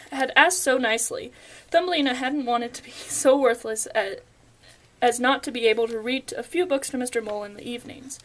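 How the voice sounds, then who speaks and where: A woman's voice: a little high, low-quality recording. A young woman narrating a scripted fairy tale as storyteller, stumbling over words now and then as she reads.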